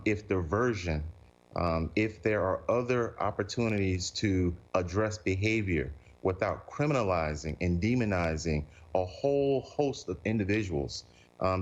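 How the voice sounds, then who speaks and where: An American man with a deep voice answers an interview. He speaks in a measured, halting manner. The audio is a little muffled and lo-fi. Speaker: elected public defender being interviewed.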